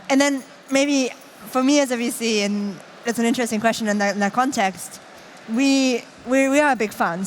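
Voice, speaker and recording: A woman moderating a conference panel, speaking in a measured way as she sums up answers and frames new questions. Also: mid-range voice, slight background noise. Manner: measured, summarizes and sets up questions. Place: conference stage.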